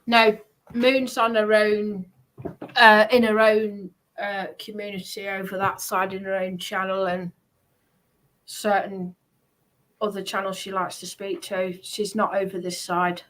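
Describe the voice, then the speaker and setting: A woman hosting a livestream, chatting casually in hesitant, halting phrases. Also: mid-range voice, little pitch movement, fairly bright tone, rough recording. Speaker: livestream host.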